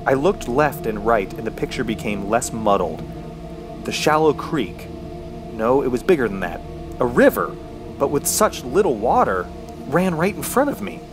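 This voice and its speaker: Horror radio play: male actor as injured, dazed narrator, mid-pitched, halting and musing, very animated intonation. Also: music in the background. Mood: bewildered, musing. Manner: halting, musing, talking to himself. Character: dazed, injured man trapped in woods, narrating. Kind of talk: first-person radio horror drama narration.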